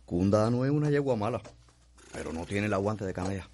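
An actor playing a subdued, deferential enslaved stable hand in a radio drama, with a Cuban accent and a humble, guarded way of talking. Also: male voice, lowish voice, lively intonation, brisk, background noise, close to an everyday voice. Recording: music behind the dialogue.

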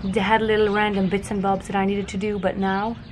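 A woman's voice: mid-range voice, flat delivery, brisk. A young woman chatting cheerfully to her handheld camera as she vlogs her laundry day. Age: young adult. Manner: cheerful, chatty, laughs easily. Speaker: vlogger. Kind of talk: casual vlog narration. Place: outdoors at home and in a laundromat. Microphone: handheld camera at arm's length. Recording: noisy outdoor ambience.

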